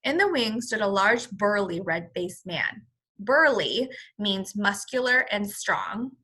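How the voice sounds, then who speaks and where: A woman reading a children's story aloud as a teacher, in an expressive, explanatory manner. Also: medium pitch, takes it slowly. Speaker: first-grade teacher.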